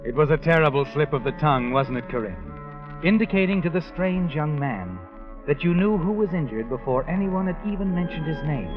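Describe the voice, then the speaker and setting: An actor playing a knowing, ominous narrator who tells an old radio mystery to its heroine. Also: male voice, fairly high pitch, animated, brisk, close to an everyday voice. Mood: knowing, suspenseful. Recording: dull vintage radio recording. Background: dramatic music.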